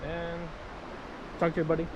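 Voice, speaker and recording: A man's voice: on the high side, completely flat delivery, moderate pace, lo-fi sound. A man giving a casual, improvised product demonstration as a vlogger, explaining things in an informal, meandering, easygoing way outdoors by the water. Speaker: vlogger demonstrating marine VHF radios. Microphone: action camera. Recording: windy outdoor recording with water noise.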